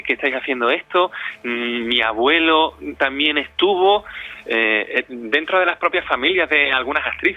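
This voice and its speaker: A man's voice: mid-range voice, sharp tone, dry recording. Hesitant man, dry studio sound. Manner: hesitant, searching, with many pauses. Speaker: audio drama director, interview guest. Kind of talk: spontaneous interview talk. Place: radio studio. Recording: dry, slightly dull radio studio sound.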